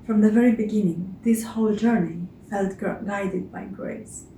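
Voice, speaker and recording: A woman whose first language is Romanian, narrating her travels in a soft, earnest, contemplative way. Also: mid-range voice, fairly even intonation, normal speed, gravelly, reverberant, a little hiss or hum, poor audio. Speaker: travel vlogger.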